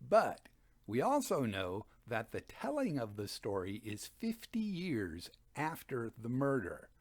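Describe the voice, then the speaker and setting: A man hosting a reading series, giving conversational literary commentary with expressive, emphatic phrasing. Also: mid-range voice, slow, dry recording. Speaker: host and dramatic reader.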